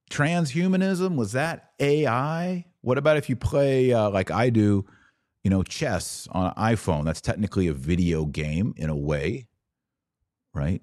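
A middle-aged American man with a very low voice speaks as a podcast host. He delivers an opinionated monologue in short, punchy, emphatic phrases. The sound is dry, with no room echo. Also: animated, measured pace, darkish tone.

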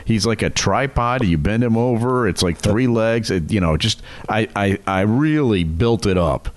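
Lively, low-voiced American man. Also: moderate pace. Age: middle-aged. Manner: teasing, animated. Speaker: comedy podcast co-host. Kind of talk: casual comic storytelling.

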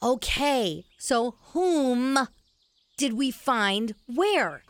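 A woman's voice: a little high, very animated, very slow, no room sound, exaggerated voice. A woman playing a practical, sceptical young boy in a family audio drama. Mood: matter-of-fact.

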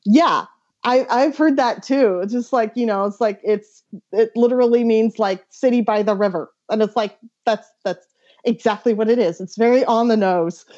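A woman chatting casually as a guest author on a podcast, giggly and animated, peppering her storytelling with "like". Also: on the high side, moderate pace, dull audio.

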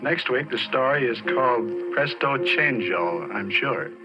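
Wry radio writer-director, dull recording. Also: male voice, mid-range voice, lively intonation, measured pace, over loud music. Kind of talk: brief scripted next-week announcement.

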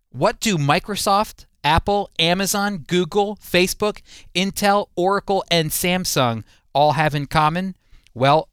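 A man's voice: on the high side, fairly bright tone, clear, resonant tone, no room sound. An American man hosting a radio program, presenting news and interviewing a guest at a studio microphone. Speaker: radio host. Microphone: close studio microphone.

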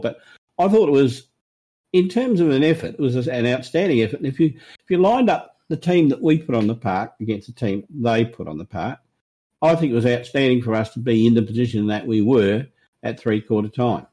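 Mellow co-host, thoughtful. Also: male voice, medium pitch. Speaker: podcast co-host. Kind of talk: casual sports podcast chat.

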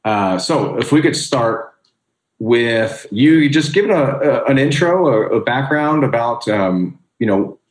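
A man hosting a podcast, reading an upbeat scripted intro and then chatting casually with jokes at his own expense. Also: mid-range voice. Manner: upbeat, joking, self-deprecating. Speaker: podcast host.

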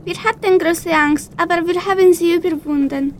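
A woman's voice: very high-pitched, moderate pace, darkish tone, a little hiss or hum. A teenage girl playing a curious little fish in an amateur school radio play. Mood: earnest.

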